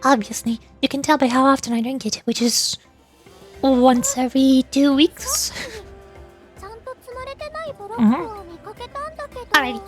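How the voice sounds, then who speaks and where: A woman's voice: high voice, expressive, slow, pauses often. A woman streaming a game, chatting casually with viewers in a playful, rambling, teasing way. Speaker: livestreamer playing a game, reading dialogue aloud. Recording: music playing behind the voice. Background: music.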